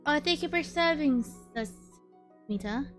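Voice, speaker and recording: A woman's voice: high-pitched, expressive, a little slow. A woman livestreaming a game, chatting casually and muttering to herself as she thinks aloud. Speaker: livestreamer, VTuber. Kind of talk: casual live gaming stream chat. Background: background music.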